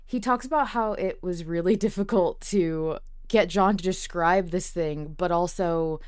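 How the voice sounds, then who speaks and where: Smooth, lower-pitched woman podcaster. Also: measured pace, darkish tone. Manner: emphatic, casual, swears freely. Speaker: podcast co-host. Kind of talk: casual chat.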